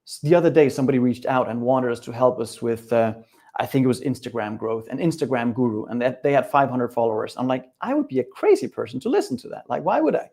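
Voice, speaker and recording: A middle-aged man from Denmark with a Danish accent leads a live webinar as a coach. He speaks upbeat and direct, talking straight to his viewers and their chat, with a voice of ordinary pitch for a man. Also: lively intonation, fast talker, mellow.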